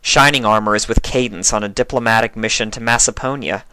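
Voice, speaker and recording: A storyteller voicing an earnest, businesslike young mare who explains and instructs in a measured way. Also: mid-range voice, pushed and bright, clean tone, close to an everyday voice, poor audio. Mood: instructing. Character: earnest, businesslike, take-charge young female pony leader.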